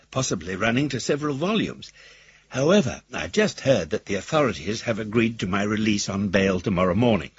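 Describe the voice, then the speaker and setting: An actor playing a wry, pompous older gentleman rogue, husky, narrating his letters in ornate, wordy style for a radio drama. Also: on the low side, lo-fi sound, exaggerated voice. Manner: wordy, ornate, self-regarding.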